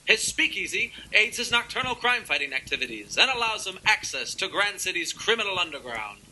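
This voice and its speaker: Male actor as dramatic vintage radio announcer, high-pitched, sharp, declamatory, highly animated. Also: brisk, full character voice, poor audio. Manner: theatrical, declamatory. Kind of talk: 1940s-style radio narration.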